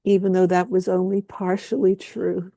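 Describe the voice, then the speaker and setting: A woman with a low, gravelly voice speaks a slow, solemn lament. She leans on repeated phrases and leaves long pauses between lines. The sound is mellow and completely dry. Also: little pitch movement, dry recording. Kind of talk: slow spoken-word lament.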